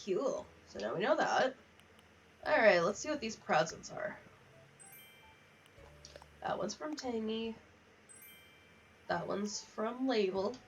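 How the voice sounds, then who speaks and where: A woman's voice: on the high side, sing-song, takes it slowly, pauses often, a little hiss or hum. Candid, sweary woman streamer, dull distant mic. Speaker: livestream host. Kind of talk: casual gaming livestream chat, reading in-game letters aloud. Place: home office.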